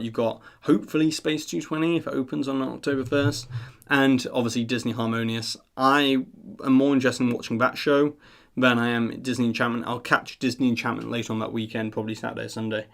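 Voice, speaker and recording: A man's voice: medium pitch, vocal fry. A man hosting a live stream, chatting casually in an earnest, rambling, self-deprecating way. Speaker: live stream host of a theme park news channel.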